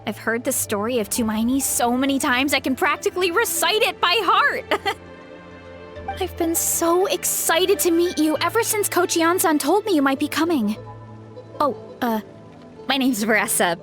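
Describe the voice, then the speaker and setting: A woman's voice: sits high, moderate pace, fairly bright tone, soft background music. An actress playing a cheerful, modest young woman warrior in an anime-style video game, with an earnest, bubbly delivery. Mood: modest, easygoing. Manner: earnest, bubbly, self-effacing.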